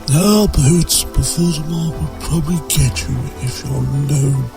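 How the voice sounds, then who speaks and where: A man voicing a kind, sad old wizard who speaks gently and patiently, in an amateur audio drama. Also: on the high side, moderate pace, gravelly. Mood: gentle. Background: music.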